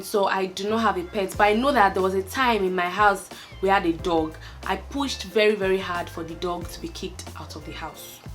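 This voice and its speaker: Young Nigerian woman, candid vlogger, mid-pitched, faint music underneath. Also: fairly bright tone. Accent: Nigerian, Lagos. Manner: chatty, candid, laughs often, trails off with fillers. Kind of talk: casual chat to camera, answering a tag list.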